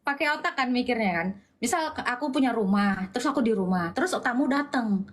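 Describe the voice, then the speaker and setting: A woman's voice: on the high side, lively intonation, fairly quick, background noise. A woman in a heated online debate, mocking and insistent, repeating her questions in slangy, informal speech.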